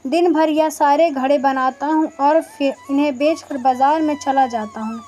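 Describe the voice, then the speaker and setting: A woman's voice: high-pitched, steady tone, normal speed, dark tone, natural voice. A woman voicing a humble, trusting widowed male potter, deferential and earnest, in a moral story.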